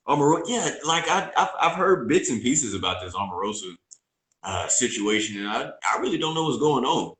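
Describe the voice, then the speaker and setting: A man's voice: medium pitch, big pitch swings, a little fast, fairly bright tone. A man with a Southern US accent co-hosting a casual live-stream chat. He is laid-back, laughs easily and likes to play devil's advocate. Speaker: podcast co-host. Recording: roomy echo.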